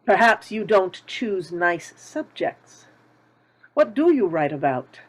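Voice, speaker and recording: A woman's voice: medium pitch, very animated, slow pace, poor audio. A woman reading a shrewd, haughty elderly landlady at a table read, probing with each question.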